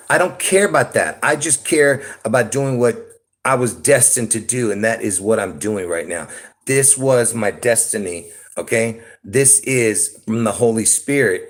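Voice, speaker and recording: A man from Lebanon talks into his phone on a solo livestream. His voice sits at an ordinary middle pitch. He wanders from point to point, chuckling and checking in with "all right?". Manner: meandering, stops to ask "okay?" and "all right?", chuckles often. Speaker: YouTube livestreamer. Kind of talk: rambling solo livestream monologue.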